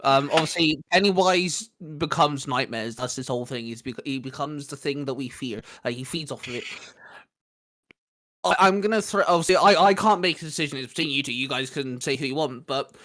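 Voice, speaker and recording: A man's voice: medium pitch, expressive, fairly quick, fairly bright tone, no room sound. A man hosting a casual chat with friends, laughing often, swearing and restarting his sentences. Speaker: podcast host running the quiz.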